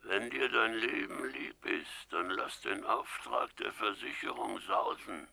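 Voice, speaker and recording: Sinister thief, low creaky voice, deliberate threats, telephone effect, faint noise. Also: male voice, pushed and bright, heavily acted. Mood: cold menace. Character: menacing male master thief, coldly threatening villain. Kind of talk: children's audio drama villain acting.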